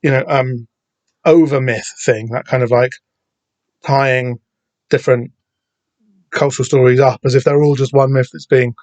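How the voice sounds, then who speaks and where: A man's voice: mid-range voice, no room sound. A man hosting a solo comic book podcast, rambling and digressive with lots of fillers and self-corrections. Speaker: podcast host. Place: home room with door open on a summer day.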